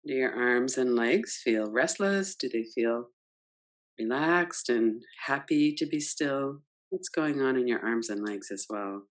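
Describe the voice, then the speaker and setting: A woman leading a guided yoga nidra meditation, gentle and unhurried, guiding with soft questions. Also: rumbling low, expressive. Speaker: meditation teacher.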